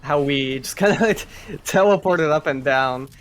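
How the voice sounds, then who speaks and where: A man with a voice a little higher than most provides live speedrun commentary. He talks casually and explains as he goes, often pausing and restarting mid-thought. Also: rough recording.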